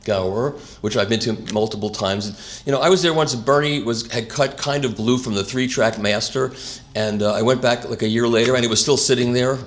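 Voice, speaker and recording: A man's voice: mid-range voice, a little fast. A man hosting an award gala, speaking off the cuff in a rambling, anecdotal way into a handheld microphone. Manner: rambling, many restarts, chuckling. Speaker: event host and presenter. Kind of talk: unscripted anecdotal speech.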